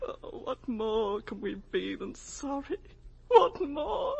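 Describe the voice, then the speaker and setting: Husky, anguished, pleading mother. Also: a little high, very animated, slow pace, darkish tone, dull audio, heavily acted. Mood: desperate. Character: anguished, protective mother and wife, desperately pleading. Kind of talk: 1950s radio drama acting.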